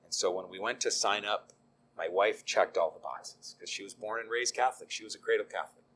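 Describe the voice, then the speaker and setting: A man's voice: on the low side, fairly quick, fairly bright tone, slight background noise. A middle-aged man, a deacon, telling his faith story in an easygoing, self-deprecating way with frequent ums. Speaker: deacon, guest. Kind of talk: conversational faith testimony.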